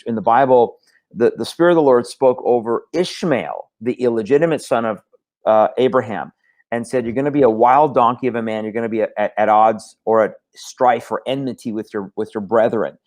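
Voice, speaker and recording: Man from Iran, talk show guest, mid-pitched voice, earnest, stumbles and restarts often. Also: a little fast, a little mellow. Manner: earnest, many restarts and stammered repeats. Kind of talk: casual talk show conversation.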